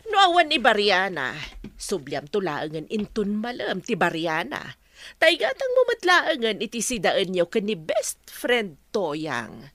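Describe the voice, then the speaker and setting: A woman's voice: mid-range voice, wildly swooping pitch, measured pace, a bit bright, dry recording, heavily acted. A woman with an Ilocano accent playing a showy visiting friend in a radio comedy drama. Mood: flirty.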